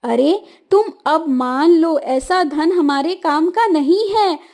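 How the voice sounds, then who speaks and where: Lady doing a nagging village wife for a cartoon, really high voice, reproachful. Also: takes it slowly, a little mellow. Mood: insistent. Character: scolding, kind-hearted village hunter's wife.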